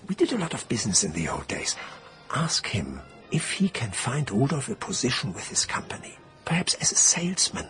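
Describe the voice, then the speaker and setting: An actor playing a proud, aggrieved middle-aged prisoner on trial in a radio drama, speaking formally and with measured indignation. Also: male voice, mid-range voice, wildly swooping pitch, fairly bright tone, whispered, soft background music. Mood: resigned. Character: imprisoned Nazi minister on trial, proud, aggrieved husband.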